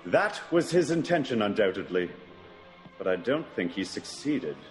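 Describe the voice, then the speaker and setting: With music clearly behind him, a man plays a confident gentleman sleuth. He reasons aloud in clipped, analytical phrases with lively swings of pitch, as in a classic radio mystery. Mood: assured. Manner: deliberate, analytical, clipped remarks. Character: shrewd, self-assured male gentleman detective. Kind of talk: radio mystery drama acting.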